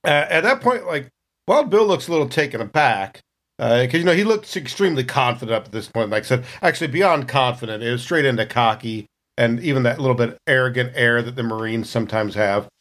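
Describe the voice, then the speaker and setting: A man tells the scene of a tabletop role-playing game. His voice sits at an average male pitch, and the delivery is casual and improvised, like storytelling among players. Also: lively intonation, fairly quick, a bit bright.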